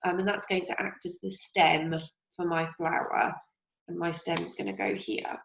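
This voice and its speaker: A young woman teacher with a slightly croaky voice, gently leading a craft lesson for young children and asking them questions as she goes. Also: on the low side, sing-song. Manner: slow, encouraging, asks children questions. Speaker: primary school teacher. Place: home kitchen, indoors. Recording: roomy, dull lo-fi sound.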